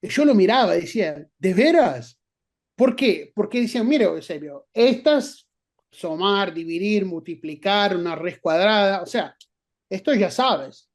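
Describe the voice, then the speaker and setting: A man's voice: sits high, lively intonation, a little slow. A middle-aged man with an Argentine Spanish accent, a guest professor answering interview questions, thinking aloud with many restarts and fillers. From not from Argentina.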